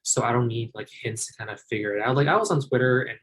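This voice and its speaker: A man's voice: medium pitch, quick pace, fairly bright tone, husky, rough recording. A man talking as a guest on a podcast, easygoing and enthusiastic in casual chat. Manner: easygoing, enthusiastic, quotes himself playfully. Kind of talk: casual chat about a TV drama.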